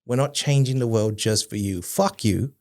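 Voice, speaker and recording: A man's voice: medium pitch, normal speed, a little mellow. A man co-hosting a podcast, ranting bluntly and swearing constantly. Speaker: podcast co-host. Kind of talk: casual chat.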